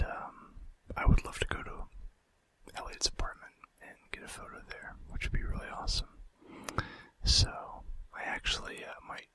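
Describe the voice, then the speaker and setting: A man doing an informal ASMR fact video in a breathy, unvoiced whisper with a hesitant delivery. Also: sharp tone. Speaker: ASMR host.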